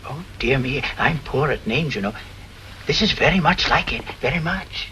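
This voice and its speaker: An actor playing a fussy, easily flustered and forgetful art shop owner in an old detective drama. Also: male voice, medium pitch, lively intonation, normal speed, pushed and bright. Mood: dismayed. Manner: fussy, scolding, flustered exclamations. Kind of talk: old-time detective film acting. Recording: old soundtrack with background noise.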